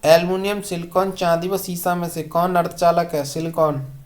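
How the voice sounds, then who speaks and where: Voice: mid-range voice, little pitch movement, normal speed, darkish tone. An exam coaching teacher reads a lesson aloud in a methodical question-and-answer manner, giving short answers. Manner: methodical quiz delivery, each question followed by a short answer.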